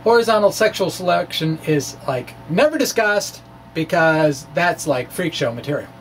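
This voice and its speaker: A middle-aged man doing a dramatic reading of a science blog, halting and wry, with informal self-deprecating asides. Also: on the high side, animated. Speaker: science video blogger. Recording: distant mic, faint background noise.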